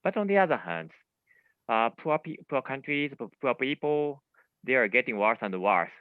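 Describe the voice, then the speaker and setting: A man speaking as a panelist in an online panel discussion, halting and restarting his words. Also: mid-range voice, very animated, clear, resonant tone, dry recording. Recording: tinny phone-like line.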